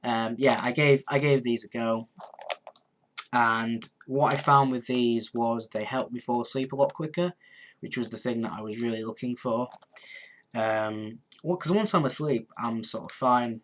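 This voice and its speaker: Male, 20s, stuffy cold, mid-pitched, rambling casual stream host full of ums. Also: a little slow, a bit bright, a little hiss or hum. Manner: rambling, hesitant, many ums and restarts. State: congested, sniffly. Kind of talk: casual live stream chat answering comments.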